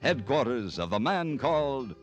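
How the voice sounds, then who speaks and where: An American man announcing in 1950s radio style. His pitch is medium and swings very widely as he reads scripted copy. The sound is dry, with faint music. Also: sing-song, moderate pace.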